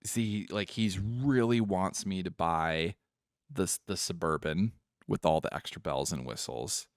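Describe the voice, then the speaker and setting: A man's voice: low voice, dry recording, clean studio sound. A man giving casual, joking, sweary commentary while playing a visual novel on a live stream. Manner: joking, irreverent, sweary, quick to giggle.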